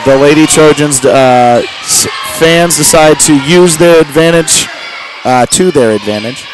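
A man with a loud voice giving halting, amateur live play-by-play of a basketball game. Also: a little high, over music. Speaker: play-by-play sports commentator. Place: large indoor school gymnasium during a game. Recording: noisy gym crowd and bouncing ball. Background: crowd noise, basketball bounces.

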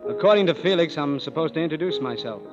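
A man's voice: a little high, expressive, fast talker, lightly acted. An actor playing a cynical young male musician in his twenties, firing off dry sarcastic wisecracks, in a 1930s radio play. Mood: sardonic. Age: 20s. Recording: dull old radio broadcast, long hall reverb, music behind.

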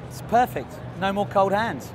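A man's voice: sits high, expressive, measured pace. A man in his thirties presenting a show with jokey roving interviews in a busy exhibition hall. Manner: jokey, light-hearted. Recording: loud music in the hall.

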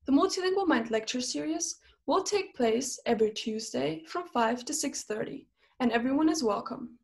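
A woman's voice: high-pitched, animated, normal speed, creaky. A young woman hosting an interview series, delivering a scripted introduction to camera. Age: young adult. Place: small plain room indoors.

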